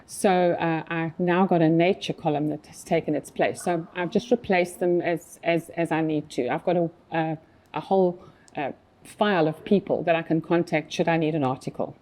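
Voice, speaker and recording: A woman's voice: deep voice, fairly even intonation, normal speed, a little mellow, slight background noise. A woman in her fifties from South Africa with a South African accent, chatting casually as an interview guest with many fillers and restarts. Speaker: magazine publisher guest.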